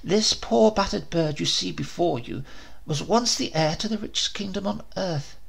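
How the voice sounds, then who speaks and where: A man reading a comic story aloud as a first-person narrator, expressive and wry. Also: high voice, moderate pace, vocal fry, breathy edge.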